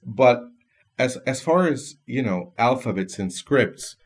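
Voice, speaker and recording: A man from Lebanon whose first language is Lebanese Arabic, speaking as a podcast guest in a thoughtful way with pauses and restarts. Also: mid-range voice, expressive. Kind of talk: casual conversation.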